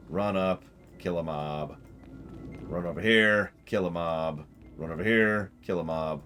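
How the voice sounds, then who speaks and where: A man's voice: on the low side, a bit bright. A man streaming a video game with casual, wry and emphatic commentary. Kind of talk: casual live gaming commentary.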